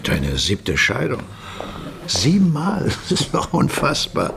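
An actor playing a world-weary judge who chides an old friend in a familiar way, in a radio crime drama. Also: male voice, fairly high pitch, huge pitch swings, slow, gravelly, exaggerated voice. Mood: teasing, chiding. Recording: crowd murmur behind the scene.

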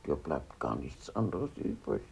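Grandmother: old, tender, husky, with a lively rise and fall, radio drama. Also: very deep voice, unhurried, heavily acted. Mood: earnest, subdued. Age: older. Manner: gentle, sighing, chuckling delivery. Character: warm, frail old grandmother raising her grandson. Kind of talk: German radio play acting.

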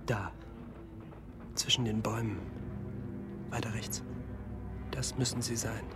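An actor playing a gritty, gallant vampire hunter in a radio drama, with a gruff and dramatic way of talking. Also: male voice, fairly low pitch, steady tone, slow pace. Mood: tense, watchful. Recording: music clearly behind the voice.

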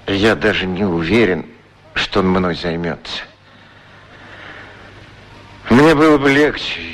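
A wistful shoe shiner in an old radio drama. His voice sits on the low side, and he speaks plainly, with patient resignation. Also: fairly bright tone, husky, exaggerated voice. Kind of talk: 1960s radio play acting.

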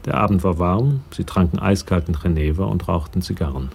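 A man reading scripted narration as the narrator of a radio crime drama, with a gravelly, husky voice. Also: low-pitched, animated, a little fast, a little mellow.

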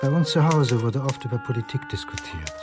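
A man's voice: medium pitch, sing-song, a little fast, loud music behind the voice, lightly acted. A man playing a haunted former socialist looking back in a reflective radio drama monologue. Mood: reminiscing. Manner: reflective, confessional. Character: haunted male Icelandic former socialist student, confessing.

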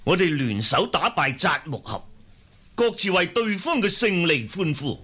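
An actor playing a hearty, paternal old khan in a Cantonese radio drama, with a husky voice and a weighty manner. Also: male voice, fairly high pitch, big pitch swings, a little slow, sharp tone, heavily acted. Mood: weighty, genial.

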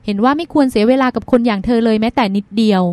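A woman's voice: fairly high pitch, racing, a little mellow, no echo, natural voice. A woman reading the part of a caring but worn-out older sister, earnest and reproachful, in an audiobook. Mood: resentful.

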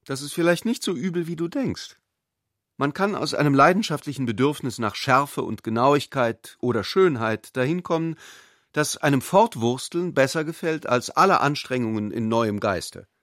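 An actor playing a cool, sceptical intellectual man in a literary radio drama, speaking in a measured, dryly ironic way. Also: medium pitch, lively intonation, a bit bright, no echo, studio quality, lightly acted.

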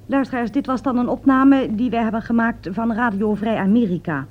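Woman acting as composed radio announcer, highish female voice, formal continuity announcements, radio play, tinny narrow vintage sound. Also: brisk, lightly acted. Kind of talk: broadcast announcements in a radio drama.

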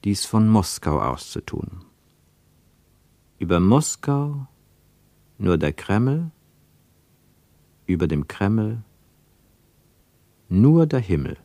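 An actor as the narrator of a literary radio travel report, with formal diction and an emphatic, measured way of telling. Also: male voice, lowish voice, very animated, mellow, no echo, heavily acted. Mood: solemn.

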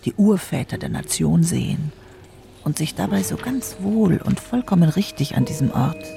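A wry, pointed parliamentarian's monologue in an audio drama. The voice is very gravelly and fairly high, with music clearly playing beneath it. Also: male voice, expressive, normal speed, warm tone. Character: wry female parliamentarian reflecting on her male colleagues.

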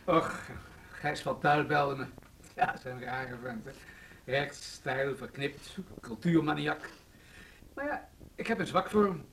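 A man's voice: on the high side, slow pace, a bit bright, distant mic. A breezy dutch politician guy in an old radio drama, blunt, self-assured, bit defensive, light hiss and clicks. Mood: breezy, genial. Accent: Netherlands Dutch. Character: self-assured, defensive male city alderman. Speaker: actor in a radio play.

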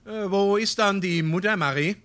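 An actor playing a grumbling, scolding small-town husband in a dialect stage comedy, sarcastic, with a Darmstadt Hessian accent. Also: male voice, high voice, normal speed, fairly bright tone. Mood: exasperated. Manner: exasperated, sarcastic.

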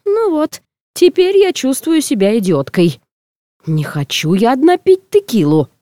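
A woman reading first-person comic fiction aloud as an audiobook narrator, in an expressive, theatrical way. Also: high-pitched, measured pace, no room sound.

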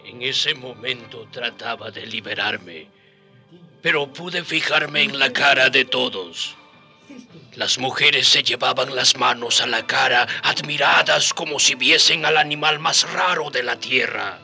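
An actor playing a captive native man who gravely narrates his memories in a radio drama. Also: mid-range voice, measured pace, sharp tone, soft background music. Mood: grave, sorrowful. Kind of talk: scripted first-person drama narration.

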